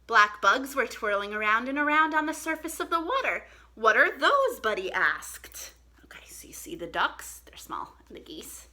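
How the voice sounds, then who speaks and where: A woman hosting an interactive musical storytime for children, talking in an animated, encouraging and playful way. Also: fairly high pitch, normal speed. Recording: clear background noise.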